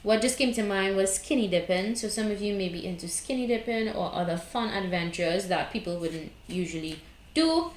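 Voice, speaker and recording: A woman's voice: medium pitch, lively intonation, normal speed, roomy sound. A woman with a Trinidadian accent giving a tarot reading, talking bluntly and casually, often checking in with "okay?" and "right?". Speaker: tarot card reader. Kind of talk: casual spiritual advice monologue.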